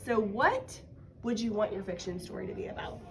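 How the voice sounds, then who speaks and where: A woman's voice: mid-range voice, sing-song, brisk, background noise. A woman teaching a casual one-on-one writing lesson, encouraging and guiding with questions. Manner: encouraging, explains by prompting with questions.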